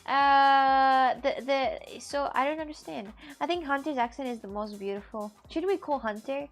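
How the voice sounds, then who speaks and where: A woman's voice: high voice, normal speed, faint music behind. A woman from Russia in her twenties, chatting casually and animatedly on a live stream, often repeating herself.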